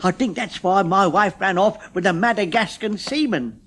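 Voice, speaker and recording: A man's voice: sits high, normal speed, a bit bright, a little hiss or hum. A man voicing a cheerful, scatty older uncle who chats and quips in a storybook reading. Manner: chatty, quipping.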